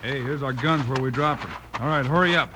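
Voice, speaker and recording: An actor playing a confident, commanding heroic Western lawman in a 1950s radio drama, giving brisk, clipped orders. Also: mid-range voice, natural voice. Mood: alert, determined. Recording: noisy, clicky old radio transcription.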